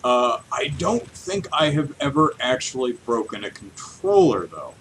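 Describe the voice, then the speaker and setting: A man in his thirties hosting a podcast in casual chat, with an animated, self-deprecating way of telling stories. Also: medium pitch, measured pace, poor audio. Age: 30s. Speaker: podcast host. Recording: clear steady background noise.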